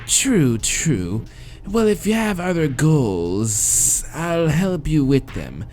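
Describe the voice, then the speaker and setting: Man putting on Vec, a slow, polite monster assistant. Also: fairly high pitch, big pitch swings, darkish tone, slight background noise, voice pushed up. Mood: smooth, oily. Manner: drawn-out, courteous, unhurried.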